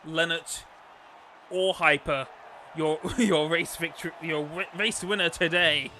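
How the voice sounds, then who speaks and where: A man's voice: fairly high pitch, steady tone, measured pace, sharp tone, slight background noise. A man commentating a sim racing league on a live stream, chatty and rambling, losing his voice. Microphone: desktop microphone.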